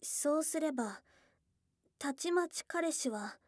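A high, girlish goblin sweetheart, played by a woman for an ASMR voice drama. She speaks slowly and very close in a dry, intimate space. Her words are blunt and tomboyish, yet she sounds bashful, flustered and affectionate, often softening into breathy murmurs. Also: expressive, dry recording. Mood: reading, bashful. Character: shy, tsundere young goblin girlfriend, bashful and affectionate. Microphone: close ASMR microphone.

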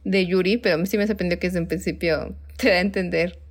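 A woman's voice: lowish voice, fairly even intonation, brisk. A young woman with a Mexican Spanish accent, co-hosting a podcast in casual chat, laughing often and acting out her own reactions. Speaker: podcast co-host.

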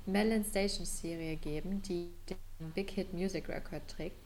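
A woman's voice: fairly low pitch, fairly even intonation, unhurried, a little mellow. Hesitant woman co-host over noticeable background noise. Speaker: podcast co-host. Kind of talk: casual fan chat about pop music.

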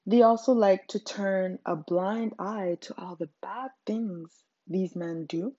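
A woman's voice: medium pitch, mellow, slightly rough, no room sound, muffled recording. A young Zimbabwean woman, speaking English as a later language, hosting her own podcast in an earnest, emphatic way. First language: not English. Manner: earnest and emphatic, frequent fillers. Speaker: podcast host. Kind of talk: solo podcast monologue.

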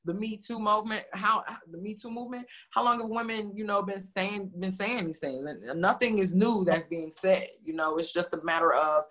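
A woman with a deep, heavy voice speaking passionately as a guest in a casual interview over a video call, full of "like" and "you know". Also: normal speed, few pauses. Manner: passionate, expressive, many "like" and "you know" fillers.

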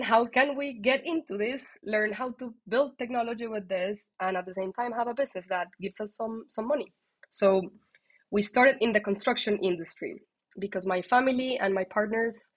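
A woman with a strong Colombian Spanish accent, a podcast guest telling her story in a lively, candid way. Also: mid-range voice. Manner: lively and candid, with frequent fillers and restarts. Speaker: guest, tech executive.

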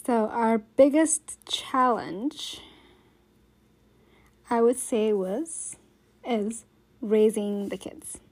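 A woman's voice: fairly high pitch, drawn-out words, pauses often, darkish tone. A woman speaking thoughtfully about the challenges of raising two girls. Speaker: interviewee, wife and mother. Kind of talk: casual chat.

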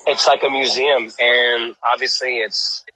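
A man's voice: medium pitch, level tone, measured pace, sharp tone, slightly rough, dry recording, rough recording. A man chatting enthusiastically on a video call as an interview guest, talking with many restarts and fillers. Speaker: guest, cyclist. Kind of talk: casual video call chat. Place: cycling town, showing a cafe on camera.

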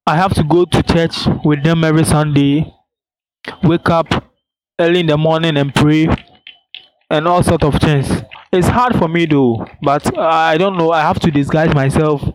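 A young man with a Ghanaian accent leaving a personal voice message, speaking earnestly and deliberately in short phrases. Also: fairly high pitch, fairly even intonation, poor audio. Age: young adult.

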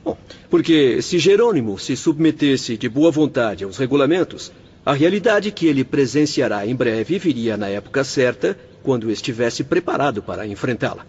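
An actor playing a young spirit doctor in a radio drama, explaining things formally and patiently. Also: male voice, mid-range voice, very animated, normal speed, darkish tone, breathy edge, dull audio. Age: young adult. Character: calm, instructive young spirit doctor and hospital director.

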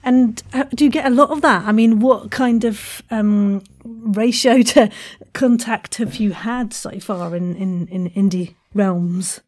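A woman's voice: medium pitch, fairly even intonation, unhurried, few pauses, darkish tone. A woman hosting a casual podcast interview in an effusive, encouraging way, with frequent exclamations.

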